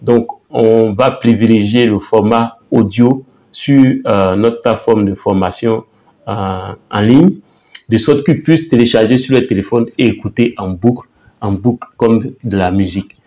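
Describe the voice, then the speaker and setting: A man teaching a language lesson in a casual, halting way, often repeating words and saying phrases slowly. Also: fairly low pitch, warm tone. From Côte d'Ivoire.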